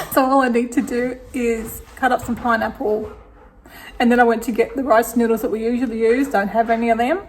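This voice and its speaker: A middle-aged Australian woman with a slightly croaky voice, chatting casually through her day in a home vlog and thinking aloud with soft laughs. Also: a little high, steady tone, moderate pace, noisy background. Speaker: vlogger. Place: home kitchen.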